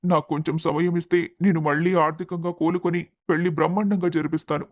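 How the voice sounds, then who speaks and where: A man's voice: fairly high pitch, steady tone, fast, lightly acted. A man playing an earnest, grief-stricken middle-aged father in a melodramatic family story. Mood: defeated, apologetic.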